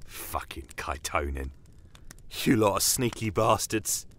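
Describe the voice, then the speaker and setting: A man's voice: on the low side, expressive, slow, a bit bright, a little hiss or hum. A man voicing a spiteful, foul-mouthed human prisoner of war in a fantasy audio drama, curt and spitting insults. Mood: bitter, exasperated.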